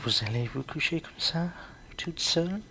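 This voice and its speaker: A woman playing an amorous, pushy gentleman in an amateur radio play, wheedling and insistent. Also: low-pitched, animated, moderate pace, very noisy.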